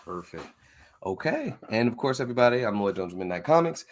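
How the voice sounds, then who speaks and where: A man's voice: medium pitch, very animated, fairly quick. A man hosting a casual interview, talking in an informal way and often saying y'all. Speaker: interviewer and show host.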